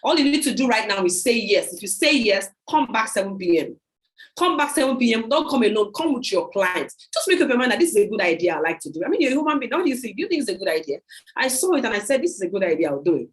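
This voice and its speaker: A woman hosting a video call meeting, giving an emphatic, repetitive sales pitch and taking questions. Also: very animated, fast talker. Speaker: host of a product sales meeting. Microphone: computer mic on a video call.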